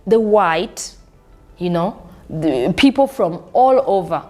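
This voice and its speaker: A woman's voice: on the low side, animated, slow, a little mellow, soft background music. A woman, telling her life story as an interview guest, often restarting and repeating words. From Burundi. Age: 30s. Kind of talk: casual conversational interview.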